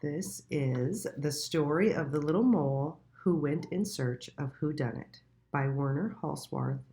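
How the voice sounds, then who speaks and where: A woman reading a children's storybook aloud in a deliberate, expressive storytelling manner. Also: sits low. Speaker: children's book reader.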